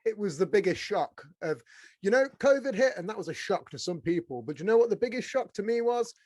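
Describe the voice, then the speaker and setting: A man hosting a podcast as a casual, blokey, excitable chat with plenty of swearing. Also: sits high, brisk, no room sound. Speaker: podcast host. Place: small studio room.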